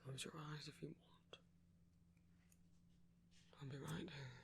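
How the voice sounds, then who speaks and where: A man playing a seductive, protective villain who dotes on a lover in an intimate ASMR roleplay, speaking slowly and soothingly in a breathy near-whisper. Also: a little high, steady tone, slight background noise. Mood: soothing, tender. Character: seductive, protective male villain doting on his hero lover. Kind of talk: ASMR romantic roleplay audio.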